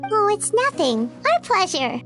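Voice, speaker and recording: A woman voicing a small childlike fairy companion, earnest and outspoken, with a squeaky voice in a video game. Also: big pitch swings, a little slow, fairly bright tone, heavily acted. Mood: hesitant, cheeky. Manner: squeaky, refers to self in third person. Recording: faint music behind the voice.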